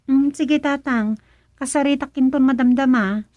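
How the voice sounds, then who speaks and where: Radio comedy role: Filipino youngster chatting with Tatay, female actor, extremely high-pitched voice. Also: moderate pace, mellow, no room sound, clean studio sound, natural voice. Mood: chatty, surprised. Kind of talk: Ilocano radio comedy drama acting.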